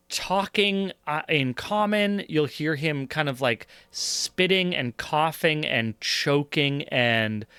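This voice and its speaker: A man running a tabletop role-playing game as game master, narrating with many pauses and fillers. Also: a little high, a bit bright, clean tone, dry recording.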